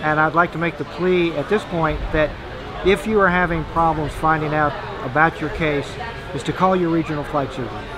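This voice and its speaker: A man's voice: fairly high pitch, fairly even intonation, normal speed. An American man in his sixties making an informal appeal to camera as a medical official. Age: 60s. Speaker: physician and government official. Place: exhibit booth in a large indoor hall. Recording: busy exhibit hall chatter.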